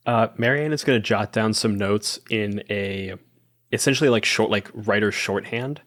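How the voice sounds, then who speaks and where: A man's voice: lowish voice, normal speed, fairly bright tone. A man talking casually as a player in a tabletop roleplaying game, thinking aloud and rewording as he goes. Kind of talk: casual roleplay talk, describing his character's actions.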